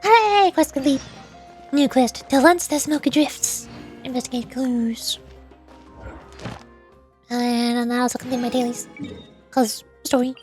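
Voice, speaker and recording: A woman's voice: high voice, a little slow, pauses often, darkish tone, soft background music. A woman livestreaming a video game, chatting in a playful, cutesy way and dropping in little sound words. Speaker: VTuber game streamer. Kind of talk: livestream gameplay chat with voiced-aloud dialogue.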